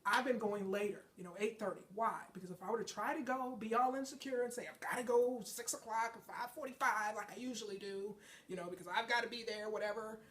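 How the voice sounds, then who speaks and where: A woman's voice: on the high side, steady tone, quick pace, few pauses, a bit bright, far from the mic. A woman fitness trainer giving an emphatic motivational talk, congested from a chest and sinus infection, often checking in with her listeners. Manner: emphatic, preachy, keeps checking in with "okay?" and "folks".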